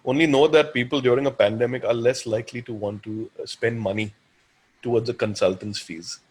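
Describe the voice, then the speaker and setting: Indian man, thirties, as an animated webinar speaker. Quick delivery, deep husky tone, conversational advice. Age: 30s. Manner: animated, acts out imagined dialogue. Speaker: guest speaker and counselor. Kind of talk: informal webinar Q&A, giving advice.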